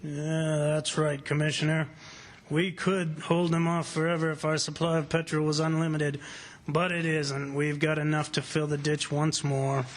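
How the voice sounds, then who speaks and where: An actor playing a bold, stubborn plantation owner, commanding and resolute, in old-time radio adventure style. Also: male voice, fairly high pitch, flat delivery, normal speed, close to an everyday voice. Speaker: lead actor in radio drama.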